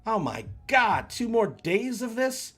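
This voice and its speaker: Guy reading grumpy space captain, defensive n dry, animated, faint music behind. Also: high voice, takes it slowly, pitched above the performer's own voice, heavily acted. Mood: exasperated. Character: weary, touchy, sarcastic male starship captain.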